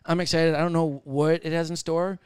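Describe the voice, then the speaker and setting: Podcast host, man in his thirties, loose and profane, slightly high, smooth tone. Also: flat delivery, brisk, a little mellow, no echo, clean studio sound. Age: 30s. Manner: loose, swearing, rambling with fillers. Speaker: podcast host and stand-up comedian. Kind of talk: casual podcast banter.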